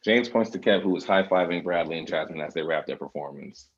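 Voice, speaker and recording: A man with a gravelly, husky voice reading scripted stage directions, on slightly dull audio. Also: deep voice, fairly quick. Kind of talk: scripted narration.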